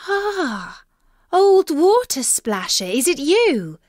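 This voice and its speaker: A woman's voice: sits high, very animated, slow pace, darkish tone, no echo, clean studio sound, voice pushed up, exaggerated voice. A woman voicing a spoilt young fairy tale princess who speaks in exclaiming, old-fashioned phrases. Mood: tearful surprise.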